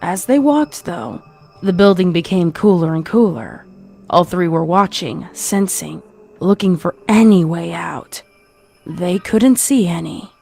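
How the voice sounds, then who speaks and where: A woman's voice: on the low side, expressive, measured pace, creaky, dark tone, faint music behind. A woman narrating a scripted horror story as a storyteller.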